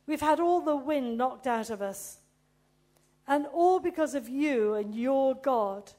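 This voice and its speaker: A woman reading as a desperate pleading woman. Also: sits high.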